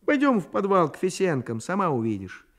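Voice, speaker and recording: A man's voice: high voice, very animated, brisk, voice pushed up. Man acting a proud, mocking boastful boy. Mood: proud, challenging. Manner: taunting, emphatic.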